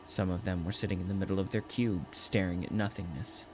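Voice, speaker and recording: A man's voice: sits low, steady tone, a little fast, a little hiss or hum, lightly acted. A man playing a frightened, brooding condemned prisoner, narrating his ordeal theatrically in a first-person audio drama. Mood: tense, grim. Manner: theatrical, anguished, swelling from hushed to urgent. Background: music.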